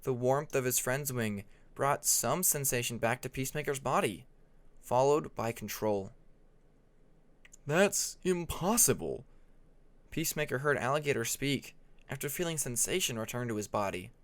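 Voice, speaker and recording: A man's voice: medium pitch, moderate pace. A man reading scripted fantasy fiction as an audiobook narrator.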